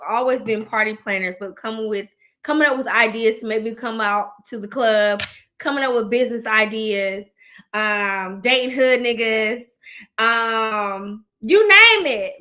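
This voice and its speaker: A woman in her thirties hosting a casual chat show, excitable and chatty, laughing often and using slang. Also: fairly high pitch. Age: 30s. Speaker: host.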